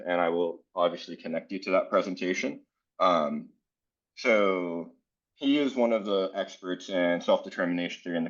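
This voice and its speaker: A man's voice: deep voice, lo-fi sound. A man from South Florida leading an educator workshop in a casual, earnest way, with many "um" fillers. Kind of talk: conference workshop presentation.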